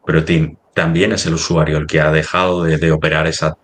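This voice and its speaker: A man with a Spanish accent from Spain presenting in a semi-formal webinar discussion, haltingly searching for his words. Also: very deep voice, level tone, fairly bright tone.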